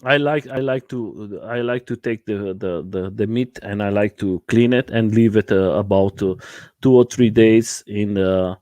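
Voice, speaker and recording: A man from Portugal with a Portuguese accent, hosting a casual chat and speaking haltingly with many fillers. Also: on the low side, dark tone, no room sound. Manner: hesitant, many uh fillers, laughs easily. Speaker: livestream host.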